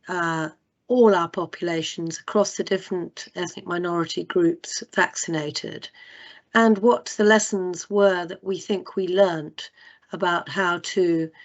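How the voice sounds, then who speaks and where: Hoarse woman on a video call. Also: lowish voice. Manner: thoughtful, long winding sentences. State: losing her voice. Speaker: meeting attendee. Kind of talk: making a suggestion in an online meeting. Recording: muffled video call audio.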